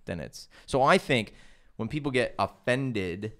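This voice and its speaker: A man's voice: mid-range voice, animated, unhurried, pushed and bright. A man from San Diego, a comedian guest, telling stories in a rambling, casual podcast chat. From San Diego, California. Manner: storytelling, rambling with fillers.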